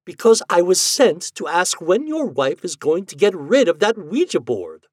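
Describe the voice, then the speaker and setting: A man's voice: high-pitched, big pitch swings, moderate pace, no echo, clean studio sound, voice pushed up. A man voicing a haughty, tired lady ghost in a story, indignant, prim and exasperated. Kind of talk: storybook character voice.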